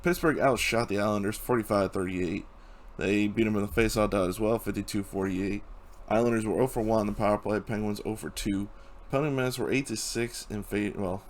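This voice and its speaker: A young man who hosts a hockey channel, casually recapping playoff games and reading out stats, his voice hoarse from a cold. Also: on the low side. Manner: casual, reads stats, chuckles at times.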